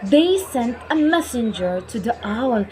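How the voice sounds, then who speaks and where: A young woman performing a bedtime story for children in a theatrical, deliberate manner. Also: on the high side, very animated, a little mellow. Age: young adult.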